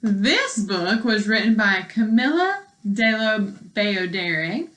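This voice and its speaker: A woman's voice: medium pitch, lively intonation, slow, fairly bright tone. A woman teacher reading aloud to young children and explaining as she goes in simple words, with questions to the listeners. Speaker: elementary school teacher.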